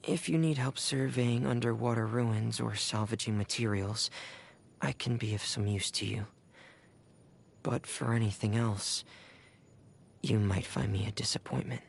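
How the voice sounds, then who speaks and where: An actor playing a shy, gloomy, gentle teenage boy diver in a video game, soft, breathy and hesitant. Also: medium pitch, a little slow, long pauses. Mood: reserved. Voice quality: soft, breathy, near-whispered. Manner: hesitant, reserved, melancholy. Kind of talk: subdued video game character voice lines.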